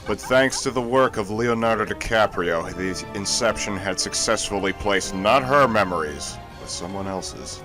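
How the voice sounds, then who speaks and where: A man doing casual, joking co-commentary on a video game in a wry, deadpan manner. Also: lowish voice, normal speed, few pauses, over music, low-quality recording. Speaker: let's play co-commentator.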